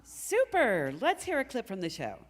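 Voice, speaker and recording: A woman's voice: on the high side, wildly swooping pitch, moderate pace. A woman playing a politely skeptical talk show interviewer in a live comedy sketch, with a dry edge. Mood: brightly inquiring. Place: recreation center hall, live stage performance.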